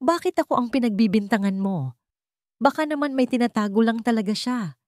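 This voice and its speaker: A woman's voice: a little high, expressive, a little fast, darkish tone, no echo, studio quality, voice pushed up, natural voice. A Filipino woman voicing a smug, scheming female villain in a story, taunting and mock-innocent. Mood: feigned innocence.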